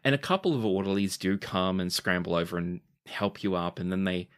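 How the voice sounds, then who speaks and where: A man running a tabletop game as game master, narrating scenes in an unhurried storytelling manner. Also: deep voice. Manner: descriptive, unhurried storytelling with dramatic pleading quotes.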